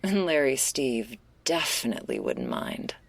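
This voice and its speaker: A woman playing a laid-back, restless stoner werewolf in an intimate ASMR roleplay, husky and gravelly, confiding in a casual, hesitant, slangy way. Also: very deep voice, huge pitch swings, unhurried, dry recording, exaggerated voice. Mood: coaxing, flirty.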